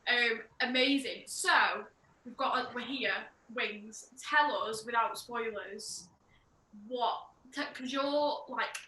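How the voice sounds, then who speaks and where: A woman's voice: a little high, slow pace, sharp tone. A woman hosting a podcast interview, chatty and enthusiastic, saying "like" often. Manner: chatty, enthusiastic, heavy use of "like". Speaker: podcast host. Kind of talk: casual interview chat. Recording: echoey room with faint background noise.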